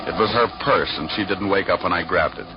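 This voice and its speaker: An actor playing a hard-boiled private detective, dry, sardonic and slangy, in 1940s radio noir style. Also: male voice, deep voice, fast, background noise, natural voice. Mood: wry, narrating. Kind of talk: first-person noir narration, 1940s radio acting. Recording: muffled vintage radio recording with music.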